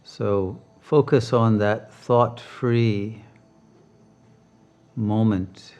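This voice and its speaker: A man leading a guided meditation as a teacher, speaking calmly and deliberately with long pauses. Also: fairly low pitch, clear, resonant tone, muffled recording.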